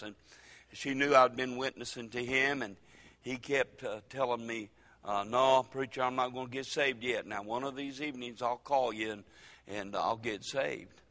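Older male pastor, folksy sermon delivery, forceful bright tone, long church reverb. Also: medium pitch. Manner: folksy preaching with dramatic pauses and sudden loud rises.

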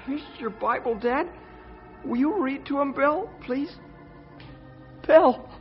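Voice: high voice, takes it slowly, faint music behind. A performer playing an earnest, devoted young boy in a radio drama. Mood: anxious. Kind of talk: old-time radio drama acting. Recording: dull old radio recording, faint noise.

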